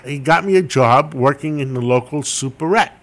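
A man's voice: fairly high pitch, normal speed, fairly bright tone. An older man, a Greek speaker from childhood, telling wry, self-deprecating stories as an interview guest. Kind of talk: interview storytelling.

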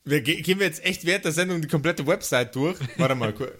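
Bemused man, somewhat high voice. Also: fast, fairly bright tone. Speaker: podcast player in an improvised audio play. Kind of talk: casual chat.

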